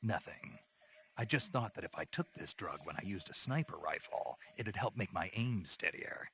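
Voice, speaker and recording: An actor playing a gruff, deadpan soldier in a video game, with a rough, gravelly voice and terse delivery. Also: male voice, sits low, normal speed, slight background noise, heavily acted. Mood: curious, deadpan. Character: gruff deadpan male soldier on a covert mission.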